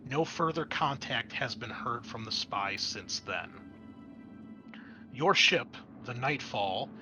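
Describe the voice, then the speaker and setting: A man speaking as game master, explaining and narrating a tabletop roleplaying scenario in a measured, explanatory way. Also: mid-range voice, bright, forceful tone. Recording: clear background noise.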